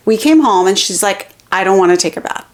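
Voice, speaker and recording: A woman's voice: mid-range voice, lively intonation, fairly quick. A woman co-hosting a podcast, telling everyday anecdotes frankly and casually, often quoting herself and other people. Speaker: podcast co-host. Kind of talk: casual chat.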